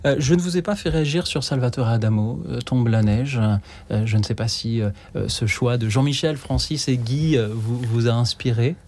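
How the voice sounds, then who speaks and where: A man's voice: mid-range voice, moderate pace, a little mellow. A man hosting a radio call-in show, speaking hesitantly with many fillers. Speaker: radio host.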